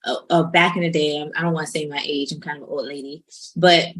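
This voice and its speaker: A woman's voice: low voice, fairly even intonation, fast. A woman in her late thirties hosting a casual podcast interview, chatty and self-deprecating, with lots of "um"s. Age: 30s. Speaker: podcast host.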